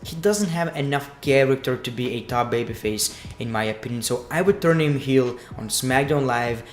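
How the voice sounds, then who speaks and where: A man from Lithuania with a Lithuanian accent, giving casual, opinionated wrestling commentary in a blunt, crudely joking way. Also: medium pitch. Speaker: wrestling news vlogger. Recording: echoey room with music underneath.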